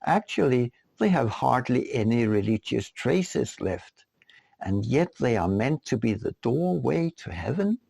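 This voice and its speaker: A man reading his own written text as an audiobook narrator, in a deliberate, rhetorical manner. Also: mid-range voice, very animated, vocal fry, a little mellow, breathy edge, no echo. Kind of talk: scripted narration.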